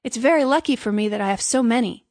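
A woman's voice: fairly high pitch, fast, darkish tone, dry recording, natural voice. A woman voicing a naive, good-hearted little man in a storybook, earnest, apologetic and eager to please. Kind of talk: storybook character voice.

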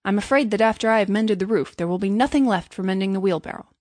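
A woman's voice: mid-range voice, fast, darkish tone, no room sound, lightly acted. A woman voicing a pompous, greedy male miller in a storybook, preachy and self-satisfied while posing as generous. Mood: guilt-tripping. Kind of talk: storybook character voice.

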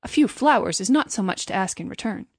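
A woman's voice: medium pitch, expressive, fairly quick, dry recording. A woman voicing a pompous, greedy male miller in a storybook, preachy and self-satisfied while posing as generous. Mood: guilt-tripping. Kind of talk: storybook character voice.

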